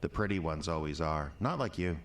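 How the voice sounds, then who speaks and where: An actor playing an unstable, bitter drunk ex-husband who rambles crudely and menacingly in an audio drama. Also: male voice, rumbling low, animated, a little fast. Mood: bitter, confiding.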